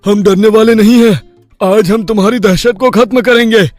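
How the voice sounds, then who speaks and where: A man voicing a brave, determined villager in an animated story, speaking in an earnest, rallying, declamatory way. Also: high-pitched, normal speed, faint music behind, natural voice. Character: brave, determined male village friends defying a demon.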